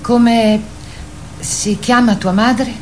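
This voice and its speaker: An actress playing a grown female wild goose in a radio drama, calm, reassuring and dreamy. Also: a little high, very slow, slight background noise. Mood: musing.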